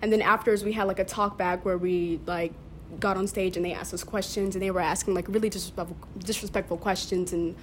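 A woman's voice: lowish voice, fairly even intonation, quick pace. Teenage female student, conversational, youthful and filler-heavy, recorded with background noise. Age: teens. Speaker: high school theater student, podcast guest. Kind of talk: casual conversational storytelling. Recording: clear background noise, unpolished room recording.

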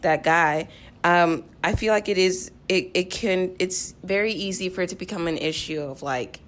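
A young woman with a somewhat low voice speaks as a guest in a group discussion. She is candid and earnest, explaining her feelings in informal, conversational language. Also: moderate pace, a bit bright. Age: teens to young adult. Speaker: podcast guest, cast member of a play. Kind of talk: casual, personal group discussion.

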